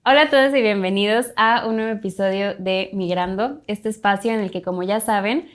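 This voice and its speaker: Woman hosting a podcast, warm and encouraging, lots of "sí" and "claro", middle pitch. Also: fairly quick, faint music behind. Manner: warm, encouraging, many short affirmations. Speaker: podcast host. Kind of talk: friendly interview hosting.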